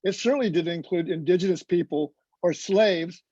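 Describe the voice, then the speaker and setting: A man with a notably high but warm, mellow voice reflects in a preacherly style. He phrases deliberately, item by item. The video-call sound is slightly dull. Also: slightly rough, no room sound. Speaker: roundtable panelist. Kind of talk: reflective, preacherly discussion remarks.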